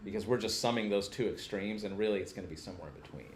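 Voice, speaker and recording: A man's voice: fairly low pitch, very fast, slight background noise. A man teaching a casual hands-on technical lesson, informally thinking aloud as he works through each step.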